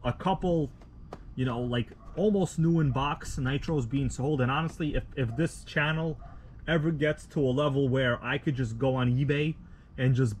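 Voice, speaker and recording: A man from Russia, a native Russian speaker, hosting a casual live stream outdoors at night, rambling in a relaxed, slangy way with many fillers. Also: mid-range voice, background noise. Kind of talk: casual live stream chat, reading viewer comments.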